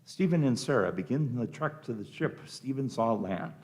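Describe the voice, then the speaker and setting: A man's voice: mid-range voice, expressive, normal speed, darkish tone. A man narrating a scripted radio drama, reading out the scenes and action.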